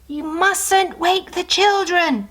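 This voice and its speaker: A woman voicing a gentle storybook animal, cautioning in a drawn-out, hushing whisper. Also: very high voice, pitched above the performer's own voice, exaggerated voice. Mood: hushed warning.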